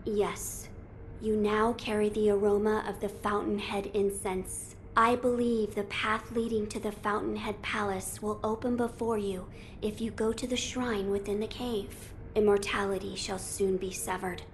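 Woman as a young noble boy, gentle, formal, earnest, measured, video game drama, background ambience. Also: medium pitch, fairly even intonation, a little hiss or hum, lightly acted. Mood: solemn, resolute. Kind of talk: scripted video game dialogue.

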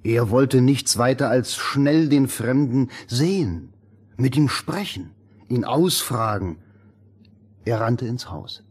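A man narrating a fairy tale as a storybook narrator, deliberate and expressive. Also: medium pitch, husky. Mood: storytelling. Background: music.

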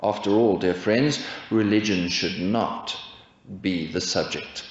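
A man in his forties preaching a sermon from a pulpit, asking his congregation rhetorical questions in a measured way. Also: on the low side. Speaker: pastor. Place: church, indoors at a pulpit. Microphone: pulpit stand mic. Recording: echoey church, dull lo-fi sound.

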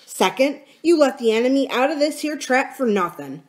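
A woman plays a lanky, mutinous pirate crewman from a storybook. He is blunt and accusing in rough sailor talk, with a fairly high, very animated pitch. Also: normal speed. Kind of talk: dramatic storybook character reading.

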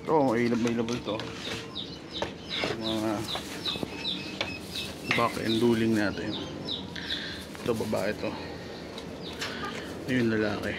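A man vlogging casually as a farmer on a walk-around, speaking off the cuff in short phrases with pauses. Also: fairly low pitch, little pitch movement. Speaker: farm vlogger, goat farmer. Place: outdoors on a rural backyard goat farm. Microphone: handheld phone behind the camera. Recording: noisy outdoor phone recording, farm animals. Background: birds and animals, outdoor farm ambience.

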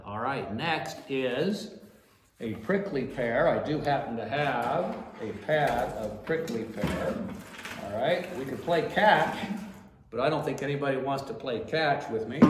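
A man's voice: mid-range voice, a bit bright, roomy sound, a little hiss or hum. An older man giving an informal botany class from a desk, chatty and always asking "all right?" or "okay?". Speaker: plant educator. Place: small office room at a desk.

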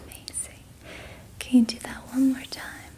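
Slow whispering young woman. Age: young adult. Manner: soothing, reassuring, gentle, with long pauses. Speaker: ASMR host doing a healing roleplay. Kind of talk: whispered ASMR guided relaxation. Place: small indoor room at night.

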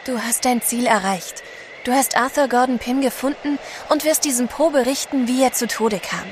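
A woman plays an adventurer's devoted wife in a radio play. Her voice is high, tender and earnest, with faint noise behind it. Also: normal speed, lightly acted. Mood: consoling. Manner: affectionate, earnest. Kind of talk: audio drama acting.

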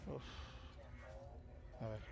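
A man with an accent from Spain giving casual gameplay commentary, muttering and swearing to himself over game sound. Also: drawn-out words. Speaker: gamer commentating a playthrough. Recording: loud game ambience noise. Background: video game sound effects and ambience.

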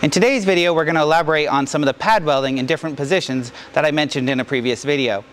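Higher-pitched male welding instructor. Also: racing, fairly bright tone, slight background noise. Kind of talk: hands-on demonstration, talking to camera. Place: large indoor welding shop.

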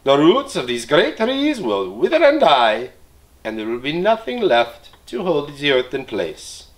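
A man plays a troop of chattering monkeys, high-pitched and loud. They warn with urgent excitement. Their voice jumps high and low. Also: a little slow.

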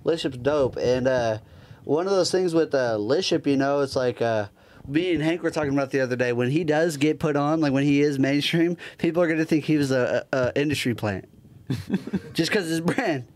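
A man's voice: fairly high pitch, moderate pace, a little mellow. A young man co-hosting a casual podcast, talking in loose street slang into a handheld mic. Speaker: podcast co-host. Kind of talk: casual slangy group chat. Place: small room with couches, indoors. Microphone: handheld microphone.

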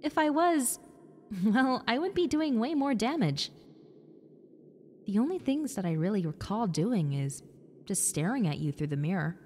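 A woman voicing a friendly, needy young female ghost in an intimate roleplay, softly coaxing the listener with hesitant pauses. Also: fairly high pitch, expressive. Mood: coaxing, reassuring. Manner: soft, coaxing, hesitant pauses, sometimes near whisper. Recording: long reverb, clear background noise and ambience.

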